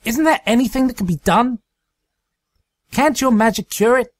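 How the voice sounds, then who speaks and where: Brash cartoon pegasus mare, played by a man, very high and strained, desperate, dry close recording. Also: lively intonation, moderate pace, dry recording. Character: brash female pegasus pony, distressed and desperate.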